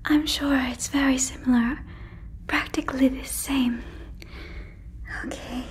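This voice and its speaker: A woman's voice: high-pitched, steady tone, a little slow, slight background noise. A woman voicing a friendly, naive female alien in an ASMR roleplay, whispering breathily and very close to the mic with soothing, stilted, formal phrasing. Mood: gently busy, pleased. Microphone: fluffy microphone, very close.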